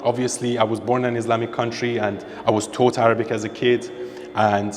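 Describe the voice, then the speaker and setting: A man's voice: medium pitch, little pitch movement, a little fast. An emphatic man from Iran argues his views in a podcast interview, repeating key points for weight. His voice carries a long reverb from the room. A faint hiss of noise sits underneath. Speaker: interviewee, social media creator. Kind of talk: opinionated conversation.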